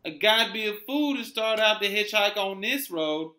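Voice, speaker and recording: A man's voice: high-pitched, expressive, pushed and bright, voice pushed up. A man voicing a chatty, folksy gas station attendant who talks colloquially and sounds incredulous, in a dramatic read-aloud. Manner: colloquial, drawn-out country talk. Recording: roomy echo, clear background noise.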